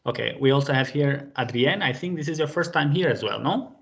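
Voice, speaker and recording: A man's voice: medium pitch, brisk, gravelly. A man leading a live online group language lesson over a video call, patient and friendly, with many fillers. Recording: dull lo-fi call audio.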